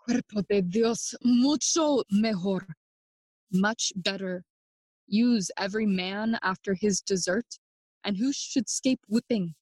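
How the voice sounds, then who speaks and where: A woman playing a bitter, grieving young prince in a bilingual classical audio play, speaking theatrical, deliberate verse. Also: mid-range voice, darkish tone, husky, dry recording, low-quality recording. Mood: wry, courteous. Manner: theatrical, deliberate, switching between English and Spanish.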